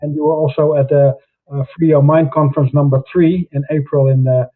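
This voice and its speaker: A man hosting a live online interview, talking haltingly with many fillers and restarts over a video call. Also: fairly high pitch, fairly even intonation. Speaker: host. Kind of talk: hesitant live interview introduction. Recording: tinny phone-like audio.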